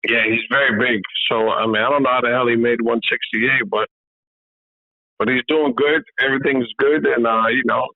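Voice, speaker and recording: Boxing trainer guest on a remote call, easygoing, loose "you know" phrasing, thin phone-line sound, dry. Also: male voice, medium pitch, normal speed, gravelly, no room sound. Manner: relaxed, repeats "you know" often. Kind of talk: casual remote interview. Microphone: video call. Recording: tinny phone-like call audio.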